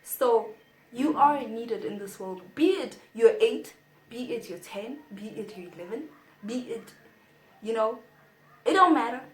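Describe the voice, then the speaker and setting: A woman's voice: a little high, expressive, measured pace. A young woman giving casual advice in a vlog. She is chatty and playful, and reads from her notes. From South Africa. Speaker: vlogger. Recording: echoey room.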